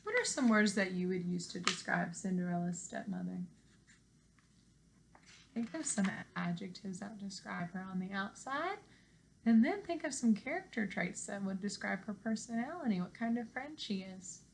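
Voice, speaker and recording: A woman in her thirties, an elementary teacher, speaking to young children in an animated, encouraging read-aloud style at home. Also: medium pitch, normal speed, vocal fry, slight background noise, rough recording. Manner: animated, encouraging, simple questions addressed to children. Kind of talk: expressive children's read-aloud and lesson talk to young pupils. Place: living room at home.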